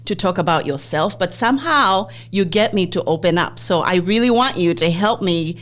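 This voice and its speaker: A woman in her forties, originally from Zambia, hosts a podcast and talks through her own life story. Her voice sits a little low and her delivery is open and reflective. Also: lively intonation, normal speed. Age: 40s. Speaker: podcast host. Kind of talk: personal interview conversation.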